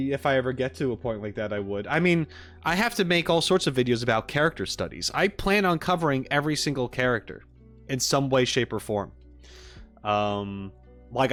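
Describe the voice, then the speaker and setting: A man's voice: mid-range voice, a bit bright. Casual male streamer, music behind. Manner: informal, rambling, joking asides. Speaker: live streamer reacting and chatting with viewers. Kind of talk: casual livestream chat.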